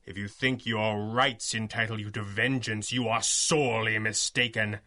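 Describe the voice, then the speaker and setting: A forceful, grandiose masked vigilante. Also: male voice, on the low side, fairly even intonation, normal speed. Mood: stern, threatening. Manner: grandiose, taunting, articulate. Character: masked male vigilante hero, theatrical, menacing, righteous. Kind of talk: 1930s-style superhero radio drama acting.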